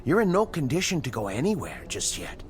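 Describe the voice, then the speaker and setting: An actor playing a calm, self-satisfied American scientist, soothing and a little condescending, in a radio drama. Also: male voice, mid-range voice, a little fast, soft background music. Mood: patronizing.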